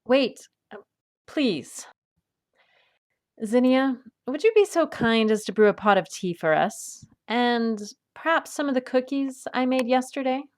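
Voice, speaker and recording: A woman playing a patient, wry adventuress and peacemaker in an audio drama, with a gentle, reassuring manner. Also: high voice, animated, a little slow. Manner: gentle, reassuring, dryly witty.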